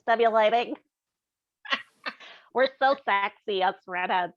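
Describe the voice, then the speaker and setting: Female podcast co-host, casual joking banter, mid pitch, dry and slightly muffled lo-fi sound. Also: takes it slowly, no echo. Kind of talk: casual comedic podcast chat. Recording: dull, boxy, dry lo-fi audio.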